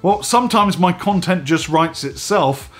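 A man in his forties hosting a casual vlog from a home studio, slightly hoarse and stuffy from a head cold, chatty and animated as he talks to the camera. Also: high-pitched, a little slow, faint music behind. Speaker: host. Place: home studio room with dive gear on shelves.

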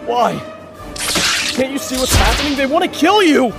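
A man's voice: extremely high pitch, lively intonation, moderate pace, creaky, over loud music, heavily acted. A young man playing an anxious, earnest superhero bridegroom who stammers, in an amateur comic dub. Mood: panicked, urgent. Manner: hesitant, stammering.

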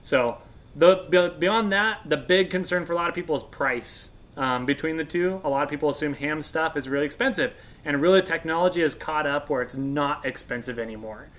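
Male expert guest, 30s, American, slightly high voice, relaxed informal explainer. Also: brisk. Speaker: guest radio expert and instructor. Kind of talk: casual explanation. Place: indoors on a couch in a workshop room.

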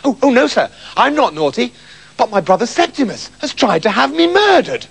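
A man's voice: very high voice, big pitch swings, moderate pace, creaky, fairly bright tone, slight background noise, pitched above the performer's own voice, full character voice. A man voicing a polite, earnest, put-upon brother in a children's story.

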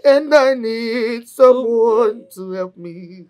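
A woman's voice: fairly high pitch, drawn-out words, mellow. An actress with a Nigerian accent playing a mentally disturbed, childlike young woman grieving in a film drama, rambling and repeating herself. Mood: tearful, desperate. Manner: repetitive, rambling, childlike speech.

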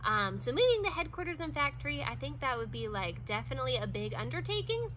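Bright, high-voiced chatty woman. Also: very animated, fast talker, slight background noise, rough recording. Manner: chatty, many "like"s and small laughs. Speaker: vlogger. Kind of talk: casual talk to camera. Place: outdoors in front of a wooden fence.

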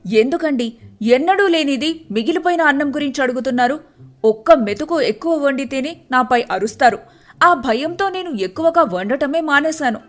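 A woman's voice: high voice, lively intonation, moderate pace. A woman voicing a timid, put-upon wife in a story, with a wary, complaining manner. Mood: exasperated.

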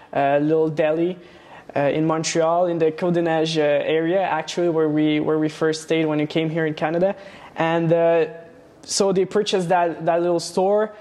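Hesitant young man, flat voice. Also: fairly high pitch, normal speed. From Romania. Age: young adult. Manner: hesitant, many fillers and restarts. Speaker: guest. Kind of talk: casual interview. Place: studio set with plant wall and neon sign. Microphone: lapel mic.

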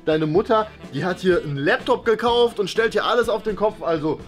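A man's voice: high-pitched, lively intonation, brisk, over music. A young man playing a blustering, flustered middle-aged father in an improvised family sketch, stammering as he goes. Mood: exasperated. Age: young adult.

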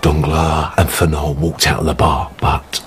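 A seedy tavern drinker in a radio drama. His voice sits low as he spins gossip in a wry, conspiratorial way, with faint music behind him. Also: level tone, a little slow.

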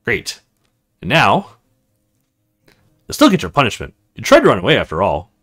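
A man's voice: on the low side, wildly swooping pitch, moderate pace, sharp tone, slightly rough, soft background music, voice pushed down, exaggerated voice. A man voicing a stern, teasing female officer in a visual novel, matter-of-fact and commanding. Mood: matter-of-fact, bargaining. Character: stern, teasing military woman officer in charge.

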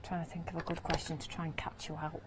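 A woman's voice: low voice, level tone, fast talker, background noise. A young woman and craft demonstrator, sniffling a little as she chats through each step of her live demo in a TV studio. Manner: chatty, narrates each step as she works. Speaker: craft demonstrator on a TV shopping channel. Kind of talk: live product demonstration chat. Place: indoor TV studio set.

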